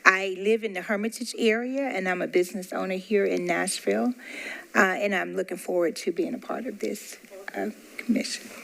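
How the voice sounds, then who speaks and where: A woman's voice: mid-range voice, steady tone, moderate pace, creaky. A woman business owner introducing herself carefully at a meeting.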